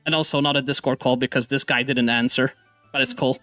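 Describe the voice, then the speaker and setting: A man's voice: medium pitch, fairly even intonation, bright, forceful tone, no room sound, faint music behind. A man hosting a live gaming stream, goofy and upbeat, joking with his guest and explaining how to join a game. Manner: goofy, upbeat, fast-talking. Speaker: stream host. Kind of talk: casual gaming stream chat.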